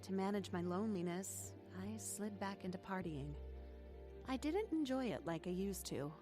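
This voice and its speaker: A woman's voice: mid-range voice, lively intonation, moderate pace, over music, natural voice. An actress playing an earnest young woman who reflectively narrates her testimony in a radio drama.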